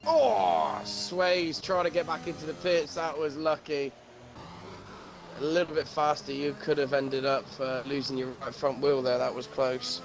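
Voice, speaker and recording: A man's voice: a little high, steady tone, unhurried, gravelly. A man co-commentating a live online race, bantering and greeting viewers from chat. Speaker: sim racing co-commentator. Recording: race game engine noise behind voice.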